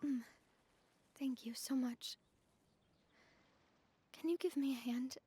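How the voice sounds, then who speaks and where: An actress playing a spirited young female boss, now drained and speaking softly and earnestly. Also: sits high, slow, slightly rough, full character voice. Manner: soft, weary and earnest. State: exhausted. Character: spirited young woman, gang boss, tired.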